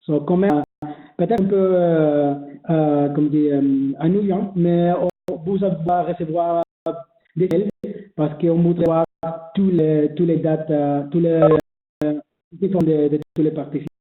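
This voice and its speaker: Homme au français hésitant, son de téléphone. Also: male voice, fairly high pitch, steady tone, measured pace. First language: not French. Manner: hesitant, many fillers and restarts. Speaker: webinar presenter. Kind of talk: explaining online registration. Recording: echoey phone-like webinar audio.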